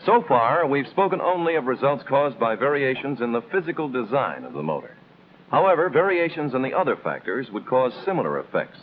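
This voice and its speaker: An American man reading scripted instructional narration in the style of a 1950s military training film, explaining in a measured, didactic way with clear emphasis. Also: mid-range voice, big pitch swings. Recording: tinny band-limited old film soundtrack, noisy.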